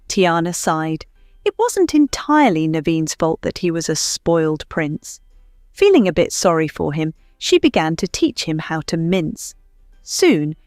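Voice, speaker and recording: A woman reading a scripted children's story as narrator, in an expressive storytelling manner. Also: lowish voice, no echo, clean studio sound. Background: faint music.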